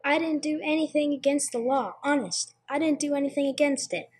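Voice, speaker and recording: A woman's voice: high-pitched, a little fast, darkish tone, lightly acted. A woman playing simple, anxious hotel folk in an amateur radio play, speaking in a hesitant, plain-spoken way. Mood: scared, defensive.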